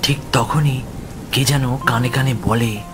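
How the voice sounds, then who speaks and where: A man tells a horror story in a dramatic, suspenseful style. His middle-pitched voice moves slowly in short phrases, with music, rain and thunder behind it. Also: fairly even intonation. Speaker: story narrator. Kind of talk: dramatic scripted horror narration.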